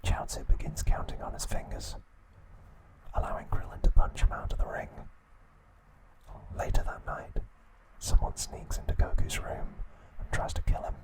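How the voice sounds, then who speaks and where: Man, soft unvoiced whisper, ASMR host, scripted narration, measured soothing bedtime delivery. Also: low-quality recording.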